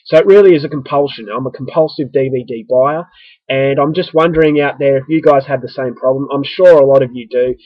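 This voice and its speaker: A man's voice: mid-range voice, fairly quick, dry recording, muffled recording. An Australian man with a stuffy, coming-down-with-the-flu voice, chatting casually to his viewers in a rambling, self-mocking vlog. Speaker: vlogger. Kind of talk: casual vlog talking to camera.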